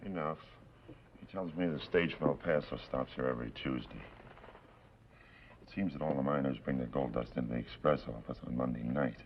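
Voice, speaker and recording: An actor playing a tough, level-headed outlaw henchman in a 1950s television western, speaking firmly and with authority. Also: rumbling low. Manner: firm, commanding, measured tough talk. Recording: dull, hissy old television soundtrack.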